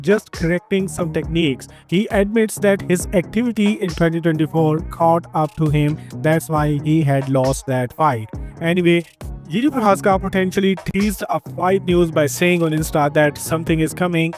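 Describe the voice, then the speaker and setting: A man with an Indian accent hosting a combat sports news update in scripted narration. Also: fairly high pitch. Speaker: host of an MMA news channel. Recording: background music under the voice. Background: music.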